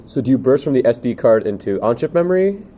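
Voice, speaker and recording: A man's voice: medium pitch, big pitch swings, fast, slight background noise. A man talking casually as an audience questioner at a student showcase. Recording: dull sound with loud crowd chatter.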